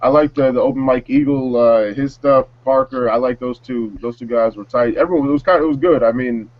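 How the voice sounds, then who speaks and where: A man's voice: mid-range voice, brisk, no room sound. A man chatting informally and slangily as a panelist on a music talk show. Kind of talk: casual chat. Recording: dry, slightly muffled audio.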